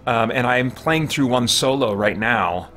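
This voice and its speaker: A man's voice: mid-range voice, lively intonation, moderate pace, sharp tone, slight background noise. A middle-aged man doing casual live gameplay commentary, chatting and thinking aloud as he streams from a small home office. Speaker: game streamer. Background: game music.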